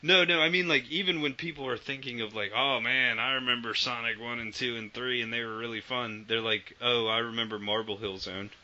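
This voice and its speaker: A man co-hosting a casual video game podcast, animated and swearing freely as he acts out old quotes. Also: mid-range voice, fairly quick, hardly any pauses. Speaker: podcast co-host. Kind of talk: casual chat about video games. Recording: dull lo-fi podcast audio.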